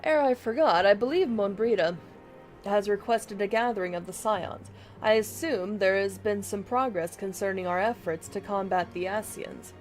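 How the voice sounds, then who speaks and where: A woman's voice: medium pitch, moderate pace, natural voice. A woman voicing a grave, formal scholar from a fantasy game, reading archaic dialogue aloud, with music behind her. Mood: businesslike.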